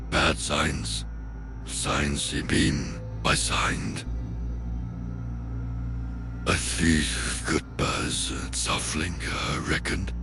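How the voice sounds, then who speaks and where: A man reading a horror story in a garbled, stumbling way, his voice run through a dark villain voice filter. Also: creaky. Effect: deep dark villain voice filter. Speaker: amateur online horror story narrator. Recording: music behind, heavily filtered voice. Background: music.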